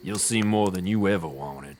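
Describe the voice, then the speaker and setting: A man playing a bitter enemy warlord in a fantasy audio drama, mocking and sly in a deliberate way. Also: low-pitched, darkish tone, a little hiss or hum, close to an everyday voice.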